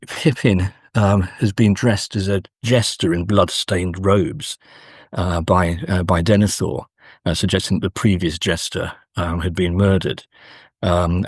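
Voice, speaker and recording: A man speaking as a scholarly interview guest, explaining in a thoughtful, halting way with frequent pauses mid-sentence. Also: low voice, husky, no room sound, studio quality. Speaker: guest academic and author.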